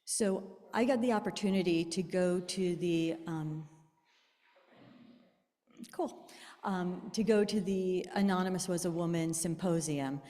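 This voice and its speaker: A woman with a small, soft voice giving a chatty presentation to a live audience, with lots of fillers. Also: lowish voice, measured pace. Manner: chatty, many fillers, self-deprecating. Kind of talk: casual talk to a live group. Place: large room with audience. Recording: long reverb in a large room.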